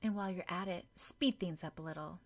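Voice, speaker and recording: A woman's voice: fairly low pitch, brisk. A woman voicing a haughty, scheming young woman in an audiobook, snooty and sly. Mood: impatient. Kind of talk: audiobook character dialogue.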